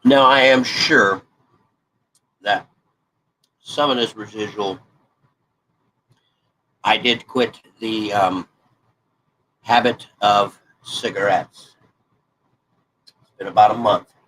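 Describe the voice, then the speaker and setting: A man's voice: on the low side, steady tone, slow pace, long pauses, pushed and bright, a little hiss or hum, rough recording. A congested man in his forties, chatting in a folksy, rambling way while he works on a crafting project. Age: 40s. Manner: folksy, rambling, self-correcting. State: congested, stuffed-up nose. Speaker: hobby crafting vlogger.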